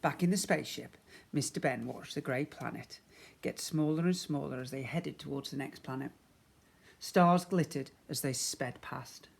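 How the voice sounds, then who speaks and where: A man reads a children's story aloud in a teacherly way. His delivery is expressive, with emphatic phrasing suited to young listeners. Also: medium pitch, normal speed, vocal fry. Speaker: primary school teacher.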